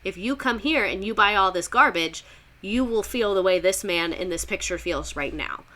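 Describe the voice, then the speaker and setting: A woman's voice: medium pitch, animated, moderate pace, bright, forceful tone. A young woman teacher with a Southern US accent, giving a rambling, chatty history lesson on a livestream, full of fillers and laughs.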